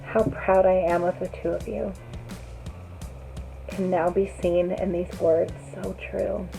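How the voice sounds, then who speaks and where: Slow, mellow woman reading poetry. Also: lowish voice, flat delivery, vocal fry, faint music behind, low-quality recording. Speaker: poetry reader. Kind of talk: scripted rhyming poem reading.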